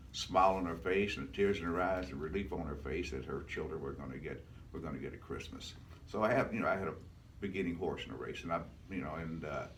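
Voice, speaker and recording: A man's voice: sits low, recorded from a distance, slight background noise. An older man from Louisville, Kentucky, answering interview questions in a reflective, folksy way and repeating words for emphasis. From Louisville, Kentucky, United States. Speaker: retired charity founder, interview guest.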